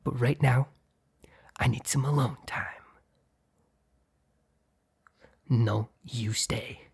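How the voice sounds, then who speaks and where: A very husky, breath-heavy mob boss acted by a man in an intimate ASMR boyfriend roleplay. He speaks slowly and haltingly, often close to a whisper. He is blunt and ruthless, yet easily flustered around his partner. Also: medium pitch, steady tone, a little mellow, exaggerated voice. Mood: irritated, commanding. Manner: halting, sighing, blunt, swearing.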